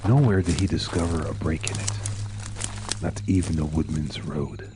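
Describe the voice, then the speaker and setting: A man narrating a dark short story in a dramatic, measured and solemn manner. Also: very deep voice, noisy background. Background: music.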